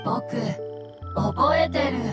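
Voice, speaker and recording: An extremely slow, high-voiced little fungus creature. It is simple and childlike, forming broken phrases word by halting word in a fantasy game, over music. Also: female voice. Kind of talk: Japanese game voice acting. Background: background music.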